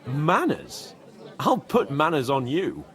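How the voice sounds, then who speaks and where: A man's voice: a little high, very animated, slow pace, fairly bright tone, some chatter behind, heavily acted. A man playing a haughty, spoilt young nobleman in a video game, pompous, sardonic and theatrical. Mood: indignant.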